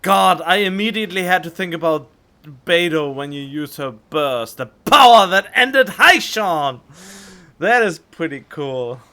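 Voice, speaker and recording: A man's voice: sits high, animated, measured pace, pushed and bright. A man giving casual gameplay commentary as a streamer, musing aloud informally into a studio microphone. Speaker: gaming streamer. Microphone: studio condenser microphone. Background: game music.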